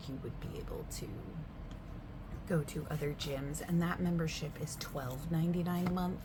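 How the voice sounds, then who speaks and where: A woman in a soft-spoken roleplay as a gym receptionist, overexplaining the options in a chatty way and sometimes dropping to a whisper. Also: low voice, noisy background. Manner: chatty, overexplaining, with filler words; drifts between soft speech and whispers.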